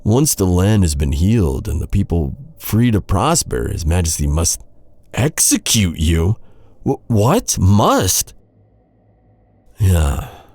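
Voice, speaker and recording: Guy doing a low raspy knight for dark fantasy asmr, anguished and grave, voice moves around a lot. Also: sing-song, dry recording, exaggerated voice. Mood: dismayed. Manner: grave, halting, formal archaic phrasing. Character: earnest, anguished male knight confronting a captured princess.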